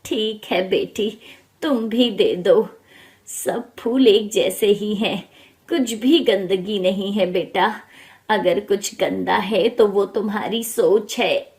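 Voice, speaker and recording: Woman as a slow, gentle old holy man. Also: mid-range voice. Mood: calm, admonishing. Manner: slow, gentle, soft-spoken.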